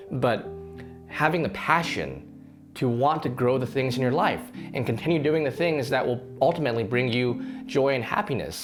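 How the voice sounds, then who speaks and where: A slightly hoarse man talks to camera with an animated, lively pitch that swings up and down. He sounds earnest and a bit rambling, restarting his sentences often. A faint guitar plays softly underneath. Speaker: fitness channel host. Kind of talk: casual talking-to-camera reflection.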